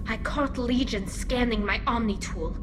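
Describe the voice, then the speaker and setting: A woman's voice: fairly high pitch, unhurried, lightly acted. A woman playing an earnest, loyal and protective young alien engineer in a video game, speaking with pleading conviction. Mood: accusing, tense. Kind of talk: video game character acting. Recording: game music behind.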